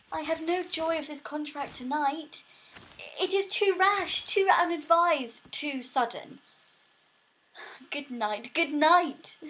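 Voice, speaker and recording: A woman's voice: high-pitched, vocal fry, fairly bright tone, slight background noise, heavily acted, rough recording. A woman acting a young, lovestruck, earnest and anxious maiden in a Shakespearean audio drama, with theatrical verse delivery, recorded at home on a phone.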